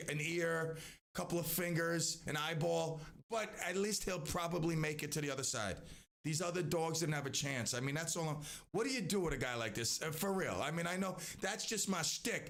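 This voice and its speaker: A man's voice: a little high, expressive, brisk, gravelly, fairly bright tone. A man hosting a live stream, talking in a casual, rambling way with many restarts and asides.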